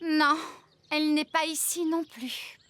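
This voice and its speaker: A woman playing a determined, caring farmer in an animated children's cartoon, speaking purposefully. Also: sits high, takes it slowly. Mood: searching, urgent. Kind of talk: animated cartoon dub acting. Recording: loud background music under dialogue.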